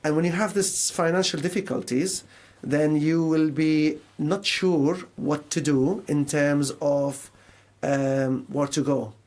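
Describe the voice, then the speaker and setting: A man's voice: on the high side, steady tone, takes it slowly, a little mellow. A man from Syria with a Syrian Arabic accent, speaking conversationally as a guest in a radio interview and explaining things with imagined quotes. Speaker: mental health charity service manager, radio guest.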